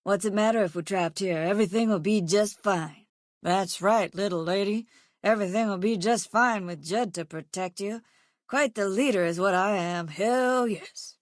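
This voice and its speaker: Woman voicing tough female ex-sheriff, game character, mid-pitched, blunt, foul-mouthed, sardonic, folksy grammar, close dry recording. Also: normal speed, no echo, lightly acted. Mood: sarcastic, bitter. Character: hard-bitten, foul-mouthed female caravan guard and ex-sheriff. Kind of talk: video game character voice lines.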